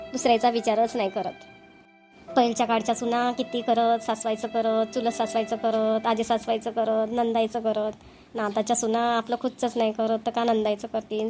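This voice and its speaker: A woman's voice: fairly high pitch, darkish tone, lightly acted. A woman voicing a doting, grumbling older mother-in-law in a family cartoon, with a folksy Vidarbha Marathi accent. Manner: complaining, folksy, repetitive. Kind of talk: family comedy cartoon dialogue.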